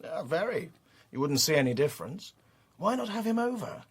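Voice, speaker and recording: An actor with an upper-class English accent playing a roguish, affable Regency gentleman gambler in a radio drama. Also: fairly high pitch, big pitch swings, a little fast, husky, full character voice. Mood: jaunty, gossipy. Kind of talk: British radio drama acting.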